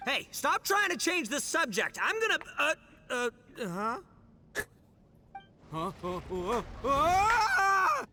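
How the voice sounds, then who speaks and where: A cocky young male gang boss from a video game, with a high, very animated voice. He is hot-headed and blusters theatrically. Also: slow pace, long pauses, background noise, full character voice. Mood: blustering, startled.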